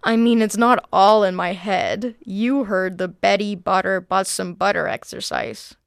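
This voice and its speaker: A woman's voice: mid-range voice, fairly even intonation, a little slow, creaky, smooth, no room sound, clean studio sound. A young woman from Panama, a native Spanish speaker with a slight accent, narrating a documentary in the first person as its reporter.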